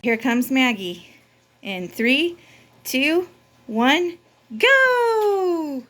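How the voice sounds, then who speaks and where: A woman's voice: high voice, very animated, very slow, fairly bright tone, a little hiss or hum. A woman book vlogger chatting casually to camera in informal, slangy language.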